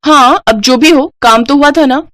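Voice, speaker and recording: A woman's voice: high voice, moderate pace, a bit bright, dry recording, studio quality, voice pushed up. A woman voicing a lazy, pampered younger sister-in-law, whiny, cheeky and defiant, in a family moral story. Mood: cheeky, defensive.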